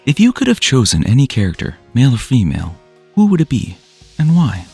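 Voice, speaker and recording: A man's voice: mid-range voice, huge pitch swings, brisk, vocal fry, a little mellow, slightly rough, faint music behind. A man reading out scripted interview questions as the interviewer.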